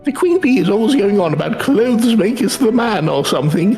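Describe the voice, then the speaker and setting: A man's voice: sits high, big pitch swings, brisk, darkish tone, faint music behind. A man playing an eager, cheeky young male hired helper in a video game, chatty and colloquial, with a British accent. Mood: proud, chatty.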